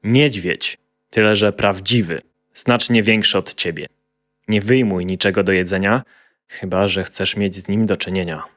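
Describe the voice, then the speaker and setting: A man voicing a muscular, deliberate dwarf father, often exasperated, in a children's fairy tale. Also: low voice, fairly even intonation, fairly bright tone. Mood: serious warning.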